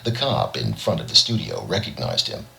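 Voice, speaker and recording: A man's voice: extremely low pitch, wildly swooping pitch, normal speed, vocal fry, sharp tone, low-quality recording. A man reading a scripted short story as an audiobook narrator. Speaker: audiobook reader.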